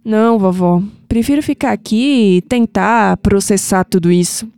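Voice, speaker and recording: A woman's voice: mid-range voice, moderate pace, creaky, warm tone, in a large hall, natural voice. A woman playing a troubled, introspective young woman with a Brazilian accent in an audio drama. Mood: confused. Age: young adult. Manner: pensive, sighing. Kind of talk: scripted audio drama acting.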